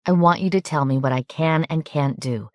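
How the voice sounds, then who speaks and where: A woman's voice: sits low, normal speed, no room sound, natural voice. A woman voicing a blunt, impatient young woman in an audiobook. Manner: direct, pressing questions.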